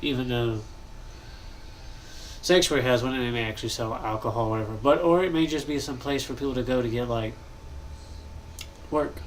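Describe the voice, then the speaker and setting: Stuffed-up young gamer host, loose thinking-aloud delivery, middle pitch, light background hiss. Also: male voice, a little fast, pauses often, poor audio. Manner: rambling, thinking aloud, frequent coughs and throat clearing. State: congested, nasal. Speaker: gaming channel host. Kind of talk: casual commentary while building in a game.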